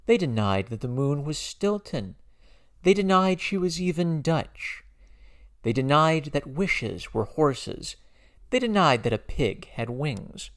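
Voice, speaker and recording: A man giving a dramatic poetry reading with a gravelly voice and measured, declamatory phrasing with emphatic stresses. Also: mid-range voice. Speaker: poetry reader.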